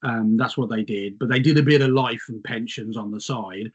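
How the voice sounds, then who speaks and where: A man's voice: medium pitch, normal speed, husky, dull audio. A middle-aged English man, a guest, slightly losing his voice, reminiscing in a rambling, self-mocking way. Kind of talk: casual reminiscing chat.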